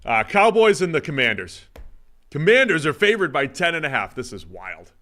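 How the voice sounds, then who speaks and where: A man's voice: on the high side, big pitch swings, moderate pace, fairly bright tone. A man co-hosting a sports podcast, thinking aloud through football analysis in a loose, conversational way. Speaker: co-host. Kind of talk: casual sports analysis chat.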